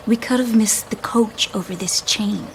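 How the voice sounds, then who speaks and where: A woman playing a shy, anxious young honeymooning wife in an audio drama, fretting in a hushed, breathy, naturalistic way. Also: mid-range voice, normal speed, a little hiss or hum, close to an everyday voice, poor audio. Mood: exasperated.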